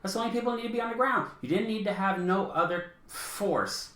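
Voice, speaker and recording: A man's voice: high-pitched, animated, brisk, fairly bright tone. Blunt, insistent man in echoey room. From the Bahamas, Canadian Bahamian. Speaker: talk show panelist. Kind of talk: opinionated political discussion.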